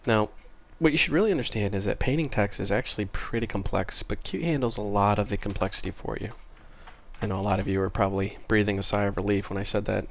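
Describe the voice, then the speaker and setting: A man's voice: on the low side, fairly even intonation, fast talker. A man giving a casual screencast programming tutorial, informal and apologetic as he thinks aloud, sounding a little congested. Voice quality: slightly congested, stuffy nose. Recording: clear keyboard and mouse clicking noise. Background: typing and mouse clicks.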